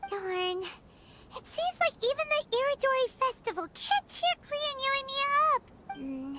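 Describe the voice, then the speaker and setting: Squeaky, chirpy tiny fairy companion. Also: female voice, big pitch swings, measured pace, heavy background noise, heavily acted. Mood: pouty. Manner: squeaky, exclamatory, refers to self in third person. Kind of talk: English video game voice acting. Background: music.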